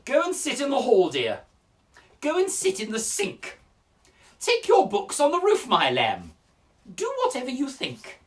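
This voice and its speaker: Fed-up lady schoolteacher, acted by a performer, high and swooping, sweetly dismissive with singsong pet names. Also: wildly swooping pitch, unhurried, distant mic, heavily acted. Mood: dismissive, exasperated. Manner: singsong endearments with weary impatience.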